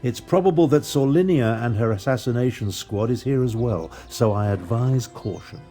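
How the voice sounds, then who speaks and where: An actor playing a composed, principled covert operative in a fantasy video game, briefing an ally in a measured, formal, cautious manner. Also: male voice, mid-range voice, warm tone, soft background music, lightly acted. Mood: grave, cautious.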